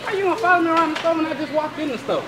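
A man's voice: very high-pitched, racing, over a noisy crowd. A man shopper arguing in casual street talk in a supermarket aisle. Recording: echoey noisy store, people around.

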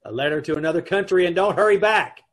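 A man's voice: sits high, fast. A middle-aged man playing a dry, wry, put-upon advertising man and husband in an old-time radio comedy, sometimes stammering. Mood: sardonic. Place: indoors at home on a video call.

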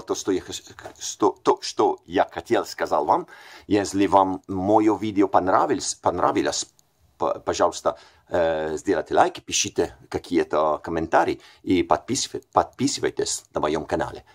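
Italian man, 40s, low voice, casual hesitant vlog to a desk camera in a small room. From Italy. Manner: hesitant, many fillers and self-corrections. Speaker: language learner vlogger. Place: small bright room indoors.

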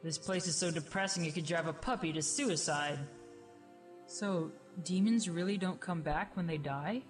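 A woman's voice: on the low side, moderate pace, faint music behind, lightly acted. A woman voicing a sarcastic, brash young dead woman in a fan radio play, snappy and exclaiming. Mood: snarky.

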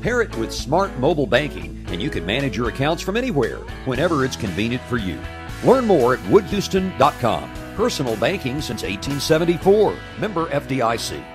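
A man delivers a polished radio ad with a lively, swinging intonation. His voice sits at a middle pitch, and clear guitar music plays under him. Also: a little fast. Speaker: bank ad announcer. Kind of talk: scripted radio ad read.

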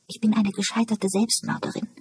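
A narrator puts on a young woman patient from an audiobook novel, wary and blunt. Her hushed voice is lifted in pitch and very husky. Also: little pitch movement, brisk, dry recording. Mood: wary, defiant.

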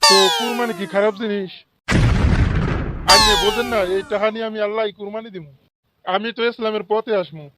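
A man's voice: high-pitched, steady tone, fairly quick, long pauses, close to an everyday voice. A man voicing a corrupt, self-righteous office official in a comic cartoon, with a Bangladeshi regional accent and sanctimonious, pious phrasing. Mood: sly, self-justifying. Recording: loud music behind the voice. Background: loud music.

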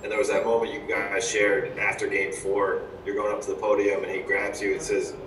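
A man's voice: lowish voice, monotone, brisk, fairly bright tone. Distant echoey male reporter. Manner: long, detailed questions. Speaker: sports reporter. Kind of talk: press conference question. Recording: distant, echoey line with noise.

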